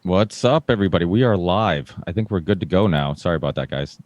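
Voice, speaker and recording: Very low-voiced male talk show host. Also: expressive, normal speed. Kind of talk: casual live conversational hosting.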